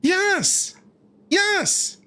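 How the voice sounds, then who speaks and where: A woman streaming a video game, giving chatty, playful, expressive reactions in a casual style. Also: very high-pitched, drawn-out words.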